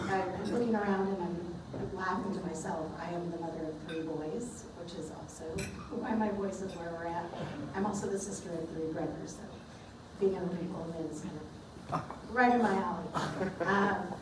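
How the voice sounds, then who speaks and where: A woman with a slightly low, raspy voice talks casually to a club audience. She is picked up from some distance in a very echoey meeting room. Steady background noise runs under her voice. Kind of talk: casual presentation.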